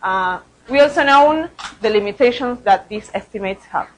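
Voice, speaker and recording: A woman's voice: a little high, animated, moderate pace, slight background noise, rough recording. A woman researcher presenting study results at a conference, speaking hesitantly and often repeating words.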